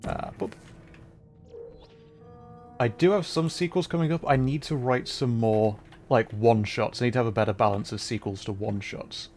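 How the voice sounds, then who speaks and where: A man's voice: medium pitch, normal speed, darkish tone. A man livestreaming a game with casual commentary and chat, half-muttering to himself as he plays. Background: game music.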